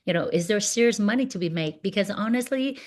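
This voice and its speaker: A woman's voice: mid-range voice, expressive, fast. A woman in her forties giving an earnest motivational business talk over a video call, full of "you know" fillers. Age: 40s. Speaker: network marketing leader.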